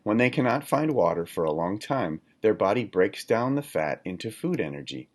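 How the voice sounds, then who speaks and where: A man reading a children's nonfiction book aloud in a deliberate, instructional manner, like a teacher. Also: lowish voice, very animated, a little mellow. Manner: deliberate, instructional, poses questions to listeners. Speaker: read-aloud reader, teacher.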